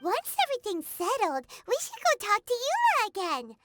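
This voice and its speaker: Tiny, piercingly high fairy sidekick. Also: female voice, wildly swooping pitch, moderate pace, over loud music, exaggerated voice. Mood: curious. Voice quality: squeaky, childlike. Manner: speaks of self in third person. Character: small chirpy female fairy companion, childlike, blunt, curious. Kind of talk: video game voice acting.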